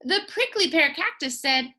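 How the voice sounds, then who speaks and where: A woman reading a children's picture book aloud in a playful, expressive way, as a teacher does for young listeners. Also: high voice, moderate pace. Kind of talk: animated children's storybook read-aloud.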